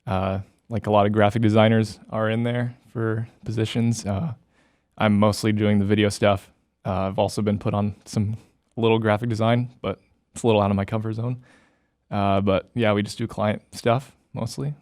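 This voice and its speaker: A man's voice: on the low side, flat delivery, normal speed, darkish tone, dry recording. A young man from Iowa, a student videographer, answering interview questions hesitantly with lots of fillers in a podcast studio. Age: young adult.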